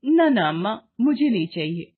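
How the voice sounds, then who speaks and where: A woman's voice: mid-range voice, very animated, normal speed, slightly rough. A woman voicing an irritated, dismissive young customer in a moral story cartoon, on a thin recording. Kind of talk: animated moral story dialogue. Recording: thin phone-like band.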